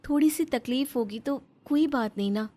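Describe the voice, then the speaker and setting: A woman's voice: sits high, moderate pace, dark tone, natural voice. A woman voicing a pampered, sweet-talking young daughter-in-law in a melodramatic moral-story cartoon, coaxing and meekly placating.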